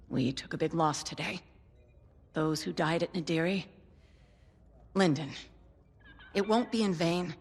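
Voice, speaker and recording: An actress playing a commander giving a measured, resolute briefing to her pilots. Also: on the low side. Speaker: military commander. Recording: reverberant hall with music.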